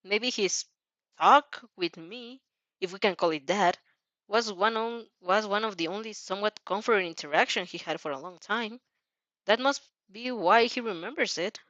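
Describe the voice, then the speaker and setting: A woman's voice: medium pitch, moderate pace, a bit bright, no echo, natural voice. Nervous, stubborn schoolgirl, acted by a woman, hesitant and defensive, dry, slightly dull sound. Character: nervous, defensive teenage girl student, stammering and stubborn. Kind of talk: amateur fan fiction character reading.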